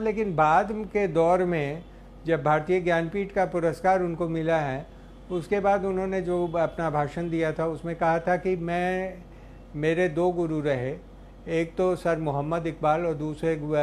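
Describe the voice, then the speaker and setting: A man speaking unscripted as an interviewee, recollecting in a halting way and searching for words. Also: on the high side, fairly even intonation, a little mellow. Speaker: interviewee recounting a poet's life. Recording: faint background ambient noise.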